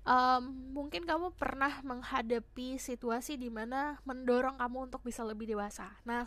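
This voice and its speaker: A young woman with a hoarse voice, chatting casually as she gives a tarot reading to her viewers. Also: fairly high pitch, a bit bright. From Indonesia. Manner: chatty, switches between Indonesian and English phrases. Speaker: tarot reader.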